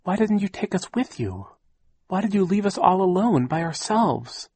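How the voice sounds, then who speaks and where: A man's voice: sits high, sing-song, normal speed, a little mellow, breathy edge, no room sound, voice pushed up, exaggerated voice. A man voicing a plaintive, reproachful young boy in an audiobook, pleading and accusing. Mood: hurt, reproachful. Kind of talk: audiobook character voice.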